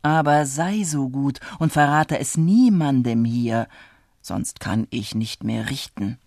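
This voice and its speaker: Storybook judge, played by a narrator: wise, amused, kindly, soft and unhurried, with dry sound. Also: mid-range voice, vocal fry, dry recording. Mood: calm, amused. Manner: soft, reassuring, measured.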